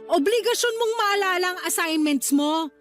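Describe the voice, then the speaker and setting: A woman's voice: very high-pitched, animated, normal speed, faint music behind. A woman playing a scornful, scolding older sister with a Filipino accent in a Tagalog radio drama. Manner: scolding, mocking.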